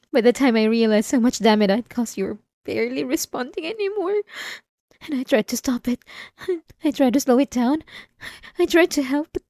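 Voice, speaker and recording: A woman playing an obsessive, remorseful wife in an intimate ASMR roleplay, confessing in a halting, tearful way close to the microphone. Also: high-pitched, mellow, no room sound. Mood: anguished confession. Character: obsessive, possessive wife, remorseful, delusional and tormented. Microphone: close microphone, dry.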